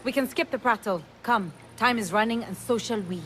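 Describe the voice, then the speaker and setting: An actress playing a determined, witty young merchant's daughter in a video game, with a brisk, wry delivery. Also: female voice, on the high side, a bit bright, slight background noise, natural voice. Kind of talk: video game cutscene acting.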